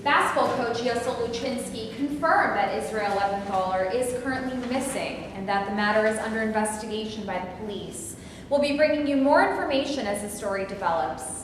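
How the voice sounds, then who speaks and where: A woman reading the part of a TV news reporter in a staged play reading, with a crisp news-anchor delivery. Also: on the high side, lightly acted. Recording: echoey hall from far off, background hiss.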